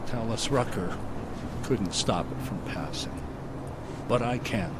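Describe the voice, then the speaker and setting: A man's voice: lowish voice, slow. A man playing a polished, assured and persuasive executive in a video game cutscene. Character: polished, persuasive male executive hosting powerful guests. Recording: clear outdoor traffic-like noise behind.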